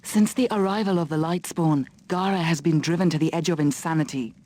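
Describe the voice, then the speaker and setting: Proud, measured warrior woman. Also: low-pitched, fairly even intonation, mellow. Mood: solemn, resolute. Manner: measured, formal, resolute. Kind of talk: video game fantasy acting.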